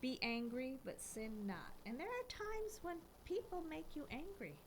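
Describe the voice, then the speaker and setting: A woman's voice: high voice, sing-song, a little slow, slight background noise. An older woman hosting a casual faith talk show on radio, chatting and laughing with her guests.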